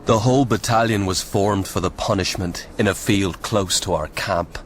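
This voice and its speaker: A grave sergeant acted by a man in a radio play, speaking in a low voice with the measured weight of an eyewitness storyteller. He sounds weary and sombre, over a slightly noisy old broadcast recording. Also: natural voice. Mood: grave, haunted. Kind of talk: radio drama acting and narration.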